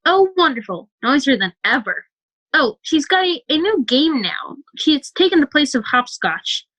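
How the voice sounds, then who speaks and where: A woman's voice: high voice, very animated, normal speed, fairly bright tone, poor audio. A woman playing a polite, indulgent suburban mother in a radio play. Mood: friendly, chatty.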